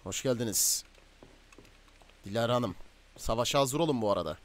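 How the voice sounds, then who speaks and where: A man playing a commanding, stern gang boss in improvised game roleplay, domineering and quick to order and threaten. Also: medium pitch, animated, a little hiss or hum, close to an everyday voice. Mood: menacing. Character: commanding male crime boss, stern and menacing.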